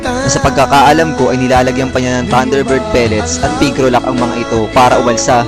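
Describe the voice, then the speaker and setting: Casting: male actor as heroic alien avenger, mid-pitched, drawn-out melodrama, homemade comic radio play with very loud music underneath. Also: fairly even intonation, natural voice. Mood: mournful, sentimental. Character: heroic male alien avenger from another planet, mock-solemn and sentimental. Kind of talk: amateur comic anime-parody radio drama.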